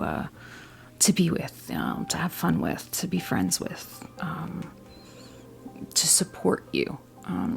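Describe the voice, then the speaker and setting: An American woman in her fifties playing a kindly witch tarot reader in an ASMR role play, whispering softly, gently and reassuringly with hesitant, unhurried phrasing. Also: medium pitch. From New Jersey, United States. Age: 50s. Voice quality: soft breathy whisper.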